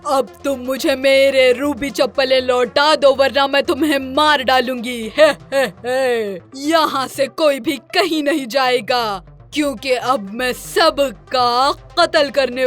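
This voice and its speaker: A woman's voice: sits high, a bit bright, soft background music. A woman putting on a cunning, gloating wicked witch. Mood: gloating menace.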